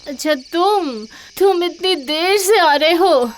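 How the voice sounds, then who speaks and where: A woman's voice: very high-pitched, slow pace, background noise. A woman playing a naive, complaining, insistent village wife in a cartoon story. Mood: sleepy, grumbling. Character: gullible, chatty, sleepy village wife, insistent.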